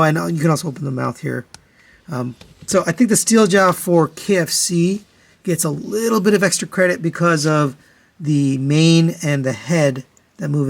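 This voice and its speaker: Male product reviewer, voice a bit on the high side, matter-of-fact and conversational, walking through comparisons step by step. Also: moderate pace, vocal fry. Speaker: toy reviewer, host.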